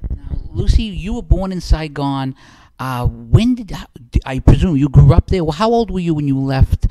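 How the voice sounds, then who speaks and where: A man's voice: a little high, very animated, normal speed, dark tone, dry recording, poor audio. A man in his fifties hosting an interview, friendly and often restarting his questions. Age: 50s. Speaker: interviewer and host. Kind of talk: conversational interview.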